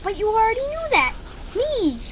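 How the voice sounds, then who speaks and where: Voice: very high-pitched, sing-song, normal speed. An amateur actor playing a sensible, skeptical paperboy kid in a radio drama, matter-of-fact and questioning. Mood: unimpressed. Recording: very noisy outdoor-sounding amateur recording.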